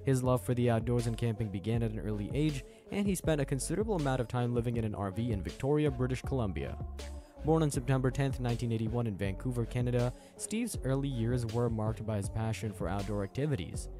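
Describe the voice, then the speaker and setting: A man hosting a channel, reading a scripted voice-over narration in a brisk, even read-aloud delivery. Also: medium pitch. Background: music bed.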